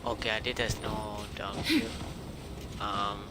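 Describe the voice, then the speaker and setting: A man live-streaming a game in casual, halting, fragmented non-native English. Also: fairly low pitch, little pitch movement. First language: not English. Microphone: uncovered microphone that picks up breath blows. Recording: noisy stream mic with breath pops.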